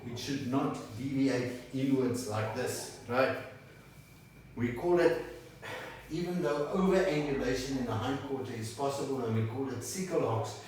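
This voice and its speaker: A man in his sixties, not a native English speaker, giving an animated whiteboard lecture and checking in with "right?" again and again. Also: medium pitch, a little hiss or hum. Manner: animated, gestures and draws while explaining, asks "right?" often. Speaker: dog breed instructor. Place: small indoor room by a whiteboard. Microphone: camera a few meters away. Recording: echoey room, mic at a distance.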